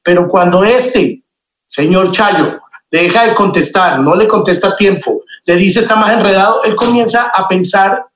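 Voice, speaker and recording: Male actor guest, high-pitched voice, casual interview explanations, roomy echo. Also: normal speed, a bit bright. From born in Mexico, grew up with Colombian and Mexican vocabulary. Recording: roomy, echoey sound.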